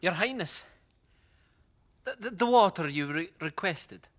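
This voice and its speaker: An actor playing a shy ten-year-old farm boy, polite and halting, in a fantasy audio drama. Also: high voice, lively intonation, a bit bright. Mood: nervous.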